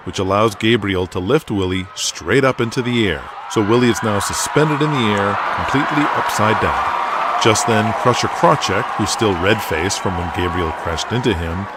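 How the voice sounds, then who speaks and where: A man's voice: lowish voice, normal speed. A man narrating a scripted audio drama. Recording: loud noise behind the voice.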